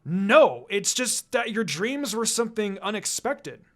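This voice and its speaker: A reader doing a brash, energetic ninja boy. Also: medium pitch, measured pace, pitched above the performer's own voice. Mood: upbeat.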